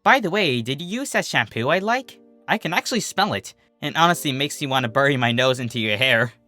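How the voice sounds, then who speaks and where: A man's voice: medium pitch, expressive, a little fast, pushed and bright, faint music behind. A man voicing a brash, defensive, teasing young female athlete pony with casual, slangy, huffy delivery. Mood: eager, blunt.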